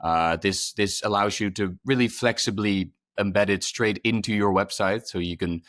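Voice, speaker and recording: Low-voiced man presenting a webinar. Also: normal speed, a bit bright, dry recording, studio quality. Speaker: presenter, product specialist. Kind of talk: webinar explanation, unscripted.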